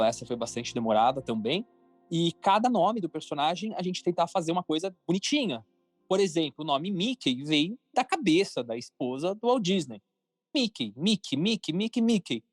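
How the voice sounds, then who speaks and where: Brazilian man with a higher-pitched, dry-recorded voice. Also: animated, normal speed, no echo, soft background music. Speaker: podcast guest, cartoon creator and entrepreneur. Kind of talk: conversational interview answers.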